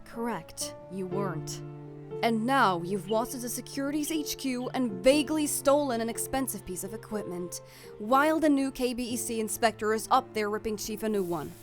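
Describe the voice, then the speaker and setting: A woman's voice: a little high, lively intonation, moderate pace, music in the background, close to an everyday voice. Woman as exasperated, sardonic big-sister figure. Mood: scolding. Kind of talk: animated comic fandub.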